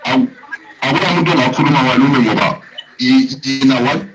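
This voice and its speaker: A man's voice: medium pitch, fairly bright tone, lo-fi sound. A man joining a live chat, speaking hesitantly with restarts. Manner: hesitant, halting speech.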